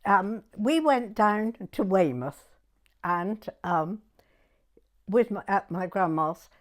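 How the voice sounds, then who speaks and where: A very old woman with a southern English accent shares memories in a chatty oral history interview. Her pitch moves up and down with great animation, while her pace stays slow. She often doubles back and repeats her words. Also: lively intonation. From southern England. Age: 90s. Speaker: interviewee recalling her youth.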